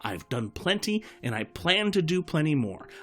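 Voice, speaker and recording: An opinionated old bird, played by a man holds forth in an audio drama. The voice sits fairly high and swings widely as he rants theatrically and answers his own questions. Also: very animated, normal speed. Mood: indignant ranting. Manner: ranting, theatrical, self-answering. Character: opinionated, talkative old male bird over 150.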